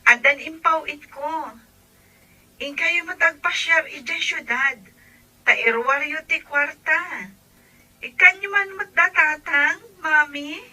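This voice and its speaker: A woman's voice: high-pitched, slow pace, sharp tone, heavily acted, poor audio. A woman with an Ilocano accent playing a caring young daughter calling home from abroad, speaking sweetly. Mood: hopeful.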